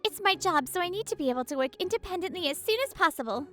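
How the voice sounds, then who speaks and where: A woman's voice: very high voice, animated, fast, a bit bright, faint music behind. A woman voicing a reserved, modest college freshman who works at a café, in a manga story. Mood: humble, composed. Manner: polite, reserved. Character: cool, modest, beautiful college freshman girl working at a café. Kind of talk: manga story character acting.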